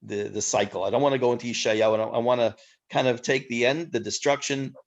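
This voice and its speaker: A man hosts an online Torah class, speaking in a loose, conversational way. His voice sits in an ordinary middle register, and he thanks people generously, filling pauses with "um" and "uh" as he talks. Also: mid-range voice, fairly even intonation, vocal fry, muffled recording. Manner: warm, effusive thanks with many fillers. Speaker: Torah class teacher and host. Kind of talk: casual class talk.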